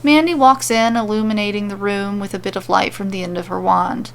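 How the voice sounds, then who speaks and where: A woman's voice: medium pitch, fairly even intonation, brisk, creaky. A woman narrating scripted comedic fan fiction as a storyteller.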